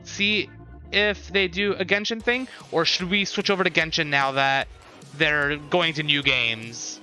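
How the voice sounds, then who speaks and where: A man's voice: fairly high pitch, a little slow, pushed and bright, soft background music. A man in casual live stream chat, thinking aloud and talking with his viewers as he reacts.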